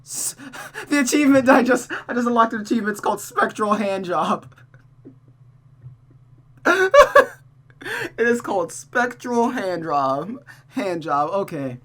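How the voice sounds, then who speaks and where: A host playing through a visual novel and commenting on it with animated, exclaiming reactions, over a noisy recording. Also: medium pitch, unhurried, pauses often. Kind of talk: reacting commentary on a game playthrough.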